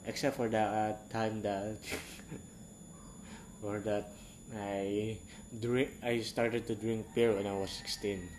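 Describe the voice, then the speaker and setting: Young man, 18, from Southeast Asia, non-native English speaker, frank and halting, stops to search for words; casual confessional monologue. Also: on the low side, flat delivery, a little mellow, slight background noise. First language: not English. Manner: halting, rambling, frank, self-correcting.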